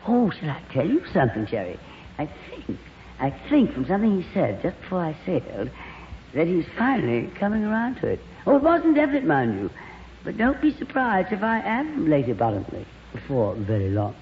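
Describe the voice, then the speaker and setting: An actress playing a glamorous, worldly, gossipy stage actress in a 1940s radio comedy, theatrical and full of endearments. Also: female voice, on the low side, huge pitch swings, fairly quick. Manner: theatrical, drawls endearments like darling. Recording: old radio broadcast, reverberant, dull, faint hiss.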